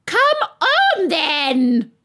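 Shrill, shrieking tyrant queen. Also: huge pitch swings, drawn-out words, heavily acted. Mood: roaring. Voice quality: shrill, loud, shouting. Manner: imperious barked commands. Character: shrill, tyrannical, bad-tempered storybook queen.

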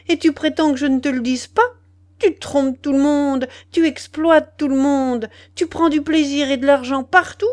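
Woman acting out a betrayed mistress, audiobook drama, high voice, furious, indignant, exclamatory, scornful. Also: darkish tone, natural voice.

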